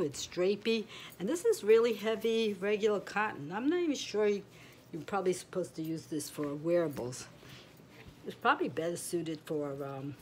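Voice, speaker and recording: A woman's voice: low voice, a little mellow, a little hiss or hum. A middle-aged woman with a nasal, stuffy-sounding voice, chatting casually through a home crafting tutorial, meandering with lots of fillers. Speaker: crochet vlogger. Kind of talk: rambling casual tutorial talk.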